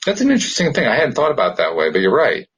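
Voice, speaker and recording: A man co-hosting a podcast in casual, reflective conversation, thinking aloud in long explanations with a husky voice. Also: mid-range voice, huge pitch swings, very fast, fairly bright tone. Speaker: podcast co-host.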